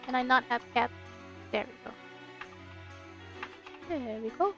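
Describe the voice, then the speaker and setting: High-pitched, playful woman streamer. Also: lively intonation, measured pace, pushed and bright. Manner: bubbly, playful, giggly. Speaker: VTuber game streamer. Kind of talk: casual livestream chat with read-aloud game narration. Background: game music.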